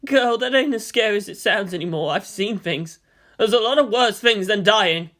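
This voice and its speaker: Lady playing wisecracking mech gunner, slangy, bit skittish, bright lively voice. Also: medium pitch, normal speed, voice pushed up, natural voice. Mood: shaky defiance. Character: flippant, skittish war-mech gunner, a soldier.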